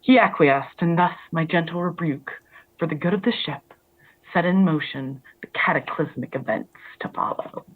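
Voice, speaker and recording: A woman author giving a measured, wry reading of her literary prose on a video call. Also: deep voice. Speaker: author reading own work. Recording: tinny phone-like line.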